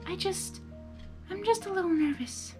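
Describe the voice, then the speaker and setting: Timid young mare bride, voiced by a woman reader, high and quiet, gentle storybook romance. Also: normal speed, music in the background. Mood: nervous. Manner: soft, hesitant, timid.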